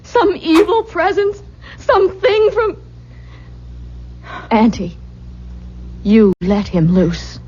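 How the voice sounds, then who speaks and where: An actress playing a distraught, obsessive young widow in an old-time radio drama, intense and pleading. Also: female voice, very high-pitched, huge pitch swings, slow pace, long pauses, a little mellow, exaggerated voice. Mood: desperate, pleading. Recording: dull, muffled old radio recording.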